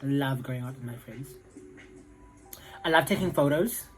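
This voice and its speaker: A man's voice: mid-range voice, moderate pace, faint music behind, poor audio. A young man with a South African accent, chatting casually to camera in a rambling way full of fillers. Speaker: vlogger.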